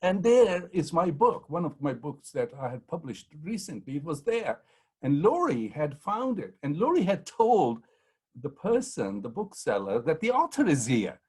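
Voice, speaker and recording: A man's voice: a little high, big pitch swings, normal speed, darkish tone, slightly rough. A man, a historian on a panel, telling rambling anecdotes full of ums and restarts. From Pakistan. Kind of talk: anecdotal storytelling in a panel talk.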